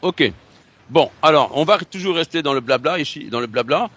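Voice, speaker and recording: A man's voice: a little high, animated, normal speed, a bit bright. A man leading an oral drill as a trainer, prompting and repeating phrases. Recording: dull lo-fi sound.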